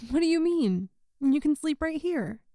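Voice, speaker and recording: A woman's voice: high voice, expressive, normal speed, dark tone, no room sound, clean studio sound. A woman playing a teasing young woman, a friend's older sister, in a conversational audio roleplay. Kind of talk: intimate ASMR-style audio roleplay, one side of a conversation.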